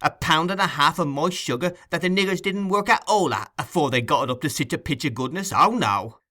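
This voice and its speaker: A man's voice: on the high side, lively intonation, fairly quick, sharp tone, pitched above the performer's own voice. A man voicing a gleeful, boastful young male thief showing off stolen food in a storybook reading.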